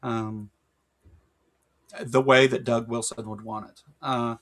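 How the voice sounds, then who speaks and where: A man's voice: mid-range voice, flat delivery, takes it slowly, a bit bright. A man with a Kentucky accent co-hosting a casual livestream chat, rambling with lots of fillers and jokes. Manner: rambling, filler-heavy, joking. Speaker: podcast co-host.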